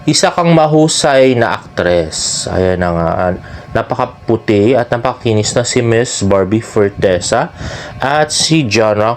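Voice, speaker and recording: A man with a Filipino accent gives casual, chatty fan commentary as a vlogger, gushing and often adding tag questions. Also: mid-range voice. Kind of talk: casual commentary on celebrity social media posts. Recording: clearly noisy background with ambient sound.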